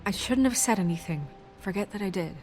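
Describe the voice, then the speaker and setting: A woman's voice: mid-range voice, lively intonation, brisk, a little mellow, natural voice. Woman playing an earnest, worried noir fairytale heroine. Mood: hesitant, gentle. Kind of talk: naturalistic video game voice acting. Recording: music under the dialogue.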